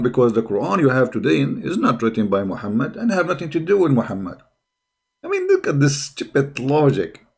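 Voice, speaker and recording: A man's voice: mid-range voice, darkish tone. Sarcastic, combative man. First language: not English. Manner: sarcastic, combative, repeats rhetorical questions. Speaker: host of a religious debate channel. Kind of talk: reaction commentary, mocking polemic.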